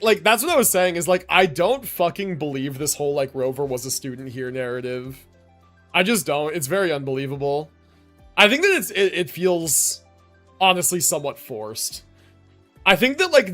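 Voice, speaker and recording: A man's voice: on the high side, fairly bright tone. A man giving casual livestream commentary on a video game in a slangy, rambling, sweary way. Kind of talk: casual gaming stream commentary. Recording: game music behind the voice. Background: game music.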